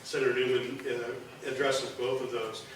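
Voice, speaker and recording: A man's voice: medium pitch, flat delivery, normal speed, slight background noise. Folksy older man speaking in an echoey hall. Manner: folksy, rambling, dry asides. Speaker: former state senator, event speaker. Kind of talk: informal speech at a press event. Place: large room with a backdrop, indoors. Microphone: no microphone, recorded by a distant camera. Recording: echoey room from a distance.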